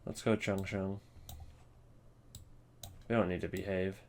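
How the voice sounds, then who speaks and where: A man's voice: low-pitched, flat delivery, takes it slowly, darkish tone, slight background noise. A man giving informal commentary as host of a ranking, hesitant and thinking aloud. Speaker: host ranking game voice lines. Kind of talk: casual commentary on a tier list.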